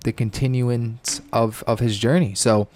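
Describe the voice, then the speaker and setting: A man's voice: mid-range voice, steady tone, measured pace, a little mellow. A man who co-hosts a podcast, opening the episode with an upbeat introduction and prompting his guest. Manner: upbeat intro, then short back-channel replies. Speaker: co-host. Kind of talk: podcast hosting and interviewing.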